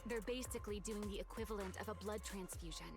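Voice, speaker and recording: An actress playing a composed, confident mission partner in an anime action game, speaking in a calm, commanding way. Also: female voice, medium pitch, fast, natural voice. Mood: composed, explaining. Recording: loud game music behind.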